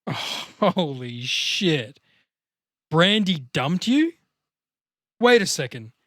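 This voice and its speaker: A man's voice: a little high, big pitch swings, drawn-out words, a bit bright, no room sound, clean studio sound, pitched above the performer's own voice, heavily acted. A man playing a devastated, freshly dumped young slacker with a downcast, wisecracking manner in a table read of a film comedy. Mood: upset, incredulous.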